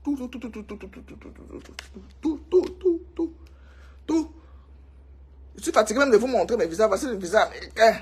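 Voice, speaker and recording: Cameroonian woman vlogger, husky breathy voice, excitable, chatty gossip to camera. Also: fairly high pitch, brisk. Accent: Cameroonian French. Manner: emphatic, excitable, repeats herself for effect. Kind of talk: casual gossip monologue to viewers.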